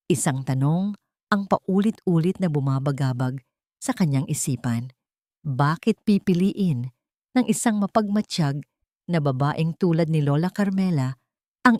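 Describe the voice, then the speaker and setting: A woman with a Filipino accent narrating a dramatic scripted story in a solemn, emotive, deliberate way. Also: on the low side, darkish tone, no echo, studio quality.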